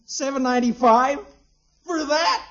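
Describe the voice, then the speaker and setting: A man's voice: very high voice, measured pace. An American man playing an earnest, penny-pinching young husband, eager and insistent, in a 1950s radio sitcom. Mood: anxious, incredulous. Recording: muffled old radio recording.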